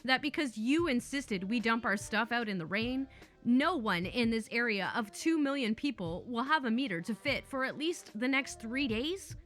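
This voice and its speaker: A woman's voice: a little high, animated, moderate pace, fairly bright tone, soft background music. A woman doing a sarcastic male engineer. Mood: pointed.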